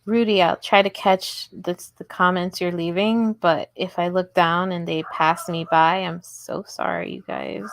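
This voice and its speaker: A woman's voice: fairly low pitch, fairly even intonation, a little slow, vocal fry, few pauses, dry recording. A woman hosting a casual crochet livestream, chatty and giggly as she talks to her viewers. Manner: chatty, giggly, talks to chat while working. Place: indoors at home table.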